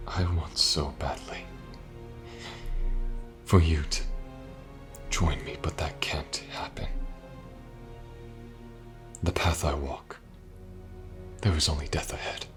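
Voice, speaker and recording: A man playing a sorrowful, duty-bound immortal mage in a fantasy audio roleplay, solemn, measured and tender, in a breathy, half-whispered voice. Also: rumbling low, fairly even intonation. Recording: music behind the voice.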